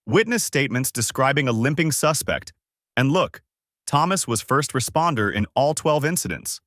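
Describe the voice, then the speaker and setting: Guy narrator doing an urgent bossy male investigator in a story, pitched up, insistent, dry sound. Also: very animated, normal speed, a bit bright, no echo. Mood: urgent, tense. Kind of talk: acted dialogue in story narration.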